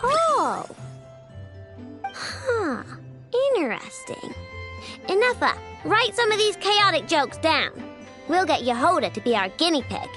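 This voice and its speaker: A woman voicing a cheeky, excitable young girl inventor in a video game, casual, bossy and expressive. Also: extremely high pitch, takes it slowly, pauses often, music in the background, heavily acted. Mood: curious, bossy.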